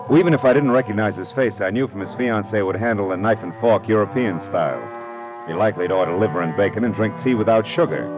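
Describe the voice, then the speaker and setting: An actor playing a wry, world-weary private detective in a hardboiled 1940s radio drama, with dry, clipped, sardonic delivery. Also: male voice, deep voice, close to an everyday voice, low-quality recording. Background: music.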